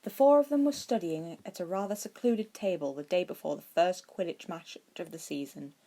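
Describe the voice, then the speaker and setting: Woman reading a story out loud, lowish voice, scripted narration. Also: moderate pace. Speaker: audiobook narrator of fan fiction.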